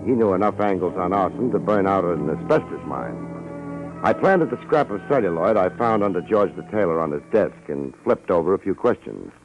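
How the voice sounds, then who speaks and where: Hard-boiled detective, wry, deadpan, low-pitched, vintage radio drama, muffled old recording, musical backing. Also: male voice, moderate pace, over music. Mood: dry, brisk. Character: wry, tough, world-weary private detective narrating his case. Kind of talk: 1950s radio detective drama acting and narration.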